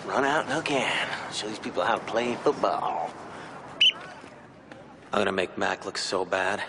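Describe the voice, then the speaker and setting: A man playing a vain, menacing narcissist in a sitcom, with a creepy voice, grandiose and deliberately dramatic in delivery. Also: fairly low pitch, noisy background. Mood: self-admiring. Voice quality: creepy voice, slipping into breathy whispers. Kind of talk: sitcom monologue acting.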